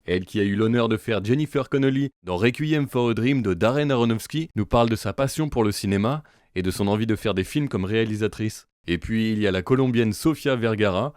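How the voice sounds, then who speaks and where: A man hosting an interview, reading an introduction and then asking relaxed, familiar questions. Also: fairly low pitch, a little fast, no room sound, clean studio sound. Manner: relaxed, familiar, short prompts. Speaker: interviewer, host.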